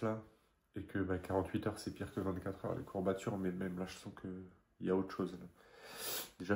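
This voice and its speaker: Mellow-voiced man vlogging his training. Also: low voice, husky. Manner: chatty, thinking aloud in short bursts. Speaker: amateur triathlete vlogger. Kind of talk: casual training diary vlog.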